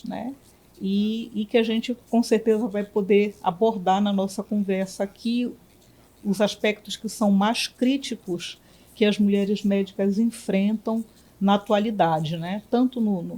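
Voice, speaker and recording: A woman from Belém, a physician and union director, answering interview questions in a reflective way with many pauses and restarts. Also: medium pitch, steady tone, dark tone. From Belém, Brazil.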